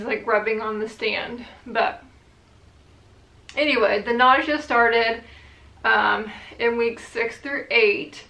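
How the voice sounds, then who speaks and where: A young woman vlogging in a relaxed, rambling way, her voice bright and pitched a little high. She speaks slowly, and the room around her gives a noticeable echo. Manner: chatty, relaxed, rambling with fillers. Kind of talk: casual chat to camera.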